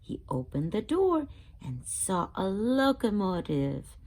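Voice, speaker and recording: Brief: female children's read-aloud host, very slow delivery with lively, expressive intonation. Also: medium pitch. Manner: expressive, asks listeners questions.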